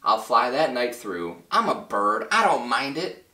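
A man's voice: mid-range voice, very animated, a little slow, bright, forceful tone, echoey room, far from the mic, heavily acted. A man voicing a mighty, curt, taunting villain eagle in a children's storybook.

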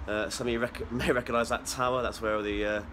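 Fast-talking young man vlogging on a phone. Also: medium pitch, level tone, fairly bright tone, a little hiss or hum. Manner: chatty, rambling, quick asides. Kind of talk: casual vlog to camera. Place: outdoors at a football ground and at home. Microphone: phone at arm's length.

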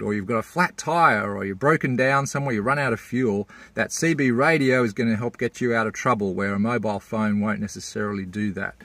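A man's voice: on the low side, a bit bright, no room sound. A man, giving a casual, plain-spoken explainer as a vlogger. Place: inside a parked four-wheel drive and outdoors at a bush campsite.

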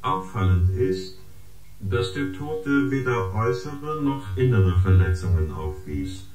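A detached male computer in a sci-fi radio play. The low-leaning voice reports slowly and formally, echoing over loud music. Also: expressive. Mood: clinical. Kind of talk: science-fiction radio drama acting.

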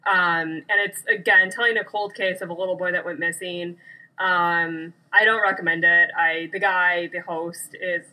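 Female co-host for chatty podcast, lowish pitch, bright edge, plainspoken and informal. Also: fairly even intonation, normal speed, rough recording. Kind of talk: casual podcast chat. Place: small room.

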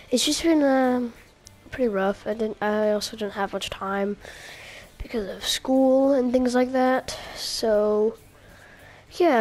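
A young woman who is sick, coughing and sniffling, giving casual gameplay commentary with hesitant pauses and many fillers. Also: fairly high pitch, darkish tone. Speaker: gaming YouTuber.

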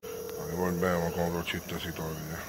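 Very deep-voiced man. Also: flat delivery, a little fast. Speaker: off-camera companion. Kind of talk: casual offhand commentary. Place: outdoor porch at night. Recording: noisy outdoor recording, chirping night insects.

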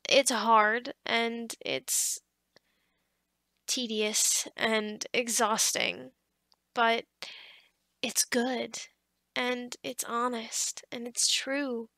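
Girl acting as nice encouraging lady boss, asmr roleplay, high voice w lots of vocal fry, super dry and close, kinda hesitant. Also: little pitch movement, pushed and bright, dry recording, full character voice. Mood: reflective, earnest. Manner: hesitant, conversational, with sighs and pauses. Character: kind, encouraging new female office boss, devout.

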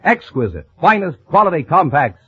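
A man's voice: fairly high pitch, sing-song, normal speed, slightly rough, muffled recording. A man announcing on a 1940s radio show in period advertising style, on an old recording.

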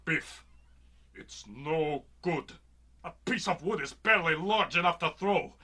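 Radio serial role: loyal bird-man warrior, raspy and forceful, theatrically solemn. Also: on the high side, lively intonation, measured pace, heavily acted. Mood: solemn, doomful. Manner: theatrical, formal, mournful. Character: noble, overdramatic winged falcon man warrior, loyal and credulous. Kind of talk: radio drama acting.

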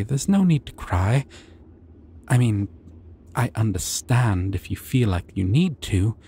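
A man voices a shy, gentle male dragon in a fantasy ASMR roleplay. The voice is mellow and unhurried, rambling in a soft, hesitant, dorky way, with lively swings in pitch. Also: husky, exaggerated voice. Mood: tender, sympathetic.

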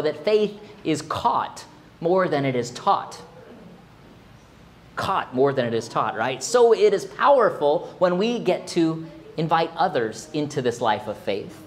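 Expressive male pastor preaching a sermon. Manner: expressive, rising to emphatic high exclamations. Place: small church sanctuary, indoors.

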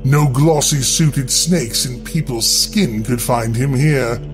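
A man narrating a scripted horror story in a deliberate, theatrical, dramatic way. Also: medium pitch. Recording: music bed under the voice. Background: music.

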